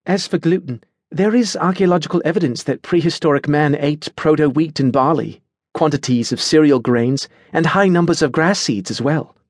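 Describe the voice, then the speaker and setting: Man voicing a chirpy, bookish young woman, pitched up. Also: normal speed, no room sound, clean studio sound, close to an everyday voice. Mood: earnest, mild. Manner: cheerful, precise, lecturing, unruffled. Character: bright, oblivious, bookish young woman, chatty and matter-of-fact.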